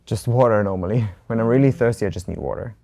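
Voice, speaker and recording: A man with a slight German accent, co-hosting a casual lesson in a relaxed, hesitant way with fillers and restarts. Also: lowish voice, quick pace, vocal fry. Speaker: co-host and learner.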